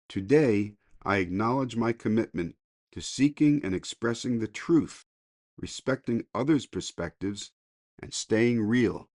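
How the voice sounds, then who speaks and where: A mature man reading a daily recovery meditation in a measured way, as a podcast reader. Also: on the low side, a little mellow, no echo, studio quality.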